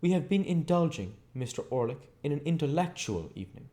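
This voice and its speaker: A man voicing a pompous, theatrical village gentleman in a storybook, wordy and excitable. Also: fairly high pitch, a little fast, voice pushed up. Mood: self-satisfied. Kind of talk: storybook character voice.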